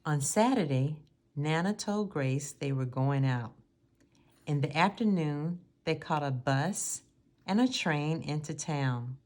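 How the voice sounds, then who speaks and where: Low-pitched woman reading a story slowly. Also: animated, darkish tone. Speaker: storybook reader. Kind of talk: read-aloud children's story narration.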